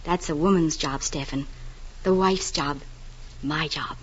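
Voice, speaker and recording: Husky, steadfast radio-drama wife. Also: female voice, deep voice, a little slow. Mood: loving, earnest. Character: steadfast, teasing, loving young expectant wife. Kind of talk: 1940s radio drama acting. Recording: dull old 1940s radio broadcast.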